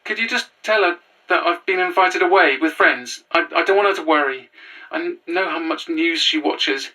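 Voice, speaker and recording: An anxious young runaway in a horror audio drama. The voice is bright and tense, with halting, stammered phrases and an earnest, haunted manner. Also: female voice, lowish voice, far from the mic, poor audio. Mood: distressed, pleading. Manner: hesitant, stammering, breathless phrasing. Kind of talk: naturalistic audio drama acting.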